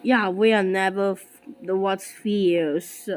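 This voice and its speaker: A woman voicing an excitable, easily angered cartoon villain with exclamatory, dramatic delivery in an amateur animation. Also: mid-range voice, slow, close to an everyday voice. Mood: scheming.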